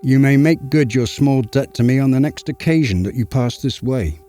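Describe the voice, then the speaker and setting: A man's voice: medium pitch, normal speed, mellow, soft background music, close to an everyday voice. A man voicing a courteous, old-fashioned male paper seller in a storybook reading, formal in speech.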